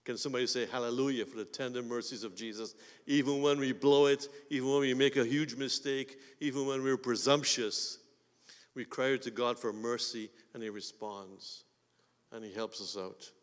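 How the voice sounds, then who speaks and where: A man with a Guyanese accent preaching a sermon as pastor, swinging between quiet asides and loud emphatic bursts. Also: mid-range voice, steady tone, normal speed. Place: church sanctuary. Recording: long hall reverb.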